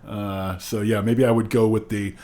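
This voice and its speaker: A man's voice: lowish voice, flat delivery, moderate pace, mellow. A man co-hosting a podcast, chatting casually with a wry, sarcastic manner. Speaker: podcast co-host. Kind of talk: casual chat.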